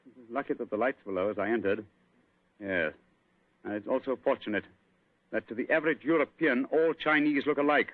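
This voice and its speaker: An actor playing an assured gentleman detective explaining his deductions in an old radio drama. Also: mid-range voice, brisk, pauses often, natural voice. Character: calm, clever gentleman detective. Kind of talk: 1940s radio acting. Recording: tinny old radio broadcast.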